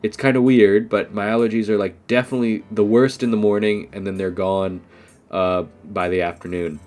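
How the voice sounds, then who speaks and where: A young American man speaks in a somewhat low voice, his nose clearly stuffed up from allergies. He talks casually and loosely, with frequent "um"s and "like"s, as the host of an unscripted English lesson. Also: steady tone, normal speed, a little mellow. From United States. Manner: rambling, many fillers like "um" and "like".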